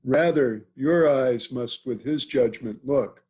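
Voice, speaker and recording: Man playing a formal, measured Shakespearean duke. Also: medium pitch, darkish tone, breathy edge. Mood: grave, advising. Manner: formal, deliberate. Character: authoritative, measured duke counseling a young maid. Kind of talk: Shakespearean verse table read. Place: remote video call reading. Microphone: video call.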